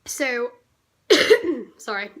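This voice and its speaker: A teenage girl from the UK, slightly croaky from a cough, telling a rambling, indignant storytime vlog. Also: fairly high pitch, big pitch swings, drawn-out words, a bit bright. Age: teens. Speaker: vlogger.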